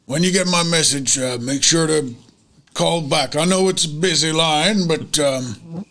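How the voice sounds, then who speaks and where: A man's voice: a little high, a little slow, a bit bright. A man playing a tabletop roleplay game, describing his character's actions with dry, sarcastic banter. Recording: noisy room ambience.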